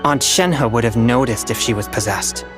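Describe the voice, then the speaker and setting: A man's voice: mid-range voice, moderate pace, loud music behind the voice, natural voice. Guy doing serious young lad for a game, mid voice, earnest n level-headed. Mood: matter-of-fact. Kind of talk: video game acting.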